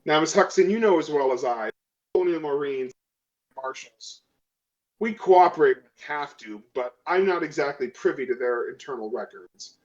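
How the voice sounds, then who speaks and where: A man voicing a guarded, sardonic woman colonial marshal in a tabletop game, in a dry, measured manner. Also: on the high side, expressive, lightly acted. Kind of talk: in-character tabletop role-play. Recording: dull lo-fi audio.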